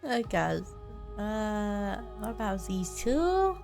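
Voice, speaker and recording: A woman livestreaming with casual, blunt, expressive reaction commentary over a game. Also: medium pitch, drawn-out words, gravelly. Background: game music faintly.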